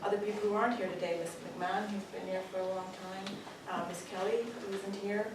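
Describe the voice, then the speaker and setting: A middle-aged woman from Canada with a Canadian accent gives a joking graduation speech to pupils as a parent, often pausing with "um". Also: mid-range voice, fairly even intonation. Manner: warm, joking, with frequent ums. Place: school hall with pupils. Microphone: distant camera. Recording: echoey hall from far off, room noise.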